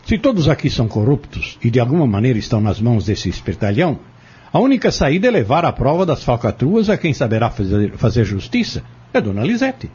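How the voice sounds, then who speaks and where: A man's voice: mid-range voice, very animated, fairly quick, a little mellow, husky, dull audio. An actor in an audio drama playing an insistent, scheming man who goads and persuades in formal wording, bent on exposing a corrupt family. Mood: urgent, persuasive.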